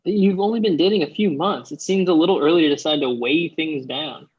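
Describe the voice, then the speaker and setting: A man's voice: on the high side, fairly quick, a little mellow, breathy edge, highs cut off. A man acting a skeptical, blunt friend at a comedy table read, questioning and wry.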